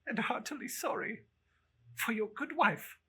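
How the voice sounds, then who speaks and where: A performer voicing a kindly, sympathetic gentleman, earnest and courteous, in a dramatic story reading. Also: mid-range voice, lively intonation, measured pace, breathy edge, pitched above the performer's own voice, exaggerated voice. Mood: heartfelt sympathy.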